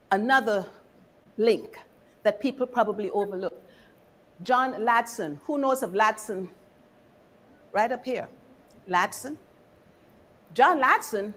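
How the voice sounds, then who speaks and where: A woman from Barbados giving an informal history lecture in a deliberate, engaging way, putting questions to her listeners. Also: fairly high pitch, a bit bright.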